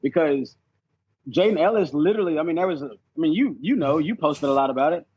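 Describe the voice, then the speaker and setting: A man's voice: fairly high pitch, animated, quick pace, darkish tone, slightly rough. A man chatting casually on a sports podcast, emphatic and prone to repeating phrases to make his point. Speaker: podcast guest, competitive cornhole player. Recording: dull, band-limited sound.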